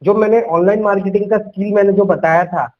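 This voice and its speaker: Young man, high-pitched voice, persuasive phone sales coach who mixes in English words, on a muffled low-fidelity recording. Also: fairly even intonation, quick pace. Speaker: sales coach making a sales call. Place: at home. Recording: dull lo-fi call recording.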